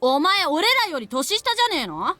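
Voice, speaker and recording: A sulky little anime boy grumbles rudely in quick, bright bursts. The high voice swings up and down with brusque irritation, in visual novel style. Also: sing-song, no room sound, full character voice. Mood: sulky, annoyed. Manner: brusque, rough-spoken.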